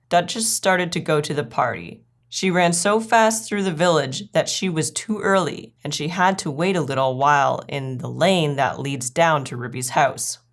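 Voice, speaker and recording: A woman with a low voice narrates a children's story. She reads the scripted tale aloud as a storyteller.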